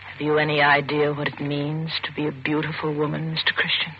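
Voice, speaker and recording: Intense, embittered young wife, old muffled radio. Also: female voice, sits low, completely flat delivery, a little fast. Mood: bitter, searching. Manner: intense, theatrical, rhetorical questioning. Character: young, beautiful, embittered wife accused of killing her husband. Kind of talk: old-time radio suspense acting. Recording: muffled, noisy vintage radio recording.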